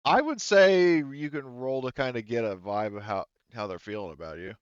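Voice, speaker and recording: A man running a tabletop game as game master, improvising narration in a casual, halting, conversational way on slightly dull audio. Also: mid-range voice, animated, clear, resonant tone, dry recording.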